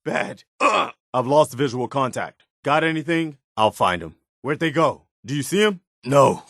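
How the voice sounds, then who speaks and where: A man's voice: mid-range voice, animated, a little slow, creaky, fairly bright tone, no echo, studio quality, exaggerated voice. A man voicing an earnest, dutiful militia soldier, a loyal and principled game companion who speaks plainly and sincerely. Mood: urgent, strained combat. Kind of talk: video game companion voice lines.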